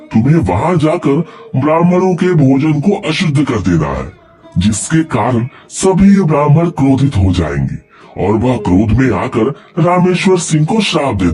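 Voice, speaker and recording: A man's voice: medium pitch, warm tone, voice pushed up. A man voicing an old hermit guru in a cartoon moral story, giving formal, commanding and scheming instructions.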